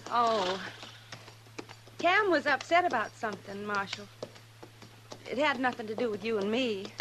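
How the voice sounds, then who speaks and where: An actress playing a spirited, anxious young wife, earnest and indignant, in a 1950s radio western. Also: female voice, fairly high pitch, animated, measured pace, pushed and bright. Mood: earnest, defensive. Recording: faintly noisy 1950s radio recording.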